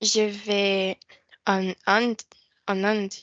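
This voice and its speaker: Slow, hesitant woman student. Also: medium pitch, flat delivery, a bit bright, no room sound, muffled recording. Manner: hesitant, tentative answers. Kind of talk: answering drill questions in class.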